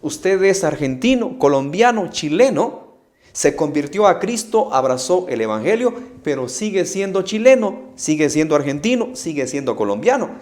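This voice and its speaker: A man's voice: a little high, sing-song, normal speed, a little mellow. A middle-aged man with a Guatemalan Spanish accent, preaching an emphatic Bible study to camera, using rhetorical questions and repetition. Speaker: evangelist preacher.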